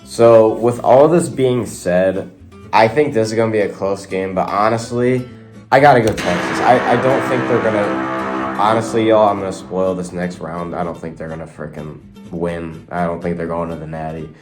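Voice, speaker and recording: A man's voice: deep voice, a little mellow. A young man with a cold, sounding nasal, casually chatting through sports predictions and rambling to his viewers. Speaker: sports video host. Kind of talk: casual predictions talk to camera. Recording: faint guitar music behind.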